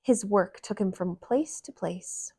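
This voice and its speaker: A woman reading a picture book aloud to young children in a gentle, deliberate manner. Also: mid-range voice, slightly rough. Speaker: children's storybook reader.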